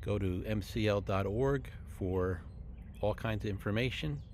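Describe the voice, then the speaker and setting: A man's voice: on the low side, unhurried, darkish tone, slight background noise. A middle-aged man hosting informally for a library, speaking to camera outdoors. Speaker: library host. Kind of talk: informal closing announcement to camera. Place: outdoors among trees.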